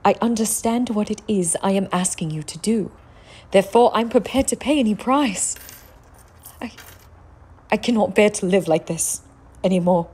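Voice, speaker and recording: A woman's voice: mid-range voice, moderate pace, pauses often, darkish tone, husky, lightly acted. A woman playing a grieving, headstrong young woman with formal, pleading diction in a theatrical audio drama.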